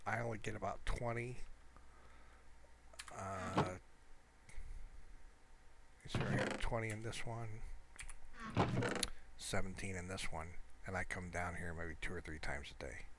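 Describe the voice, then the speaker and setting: Man, very low voice, casual game commentary, hesitant and rambling, clear background noise. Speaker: gamer showing a Minecraft world.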